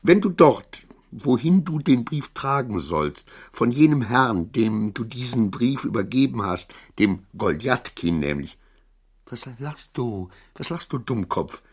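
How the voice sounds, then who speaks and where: An actor in a German radio play as a suspicious, agitated titular councillor, halting and repetitive, scolding and wheedling his servant. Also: medium pitch, big pitch swings, slightly rough. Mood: irritated scolding.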